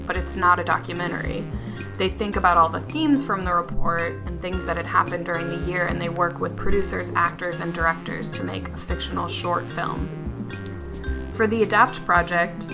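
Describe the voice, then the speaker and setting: Female host, scripted introduction to a drama, lower-pitched, loud music underneath. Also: moderate pace. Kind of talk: scripted narration.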